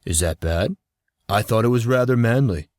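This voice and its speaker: A man's voice: mid-range voice, normal speed, darkish tone, no room sound, clean studio sound, close to an everyday voice. A man voicing a proud, grumbling teenage boy in an audio drama, earnest and a little dramatic. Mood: indignant.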